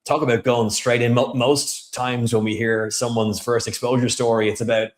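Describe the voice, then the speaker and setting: A man's voice: mid-range voice, little pitch movement, normal speed. A man hosting a podcast interview, effusive and full of praise, often stammering and repeating words. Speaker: podcast host.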